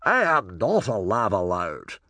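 A man's voice: mid-range voice, sing-song, moderate pace, a bit bright, no echo. A man voicing a self-important middle-aged Viking hero, breezy, protesting and easily exasperated, in a storybook. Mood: indignant.

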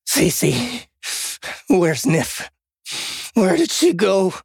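A man voicing a desperate, foul-mouthed trapped hero in an audiobook, panicking, pleading and shouting. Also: very high-pitched, very animated, extremely slow, creaky, slightly rough, dry recording, clean studio sound, pitched above the performer's own voice, heavily acted.

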